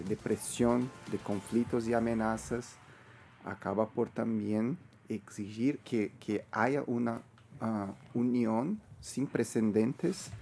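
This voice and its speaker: Slow, gravelly man, halting speech. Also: fairly low pitch, dark tone. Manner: halting, repeats words. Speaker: guest, network secretary. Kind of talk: radio interview.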